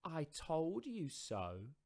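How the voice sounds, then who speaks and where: High, chirpy little storybook mouse. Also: male voice, very slow, full character voice. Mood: bold. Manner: chirpy, sing-song, animated. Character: small clever mouse, cheeky, boastful trickster.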